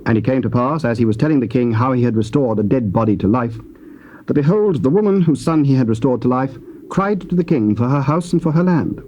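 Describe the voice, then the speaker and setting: A man narrating scripture in a measured, solemn reading. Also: medium pitch, expressive, warm tone, dull audio, a little hiss or hum.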